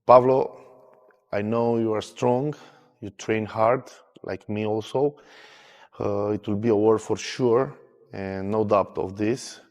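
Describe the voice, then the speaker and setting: Armwrestler, halting English, reverberant sound. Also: male voice, on the low side, fairly even intonation, a little mellow. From Romania. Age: 30s. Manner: short plain sentences in halting English. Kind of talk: pre-match interview.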